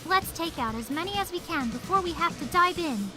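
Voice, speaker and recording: A woman's voice: extremely high pitch, expressive, a little fast, over music. A woman voicing an eager young sharpshooter teammate in a visual novel game. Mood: eager, focused.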